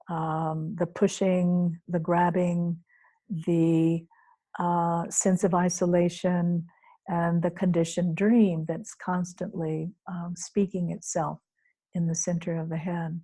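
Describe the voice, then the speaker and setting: A woman teacher leading a guided meditation in a soothing, unhurried way, leaving long pauses between phrases. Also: lowish voice, mellow. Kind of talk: guided meditation, spoken off the cuff to a group.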